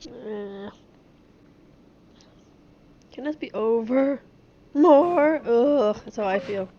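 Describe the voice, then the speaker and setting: High-voiced, slow, giggly woman streamer. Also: dark tone, highs cut off, slight background noise. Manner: giggly, short offhand interjections. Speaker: live streamer. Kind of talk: casual reactions while streaming a game. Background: game music.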